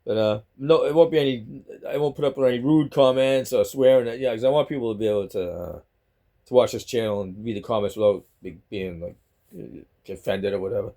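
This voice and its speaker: A man's voice: mid-range voice, a little fast, warm tone. Rambling middle-aged man with a Boston accent. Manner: chatty, rambling, repeats himself. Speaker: vlogger. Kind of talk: rambling casual talk to camera. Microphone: selfie stick phone.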